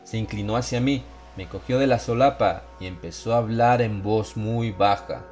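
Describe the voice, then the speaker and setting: A man's voice: on the low side, normal speed, a little mellow. A man giving a scripted first-person narration of a horror story as an audiobook narrator. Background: music behind the voice.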